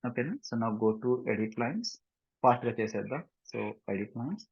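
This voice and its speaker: A man with a Telugu Indian accent walks learners through software in an instructional way, switching between two languages. His voice is husky and sits at a medium pitch. The recording is dull and lo-fi, as from a screen capture. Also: lively intonation, slow pace, no echo. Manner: instructional, repeatedly checking understanding with short questions. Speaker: software trainer. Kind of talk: step-by-step screen-share tutorial, mixing two languages.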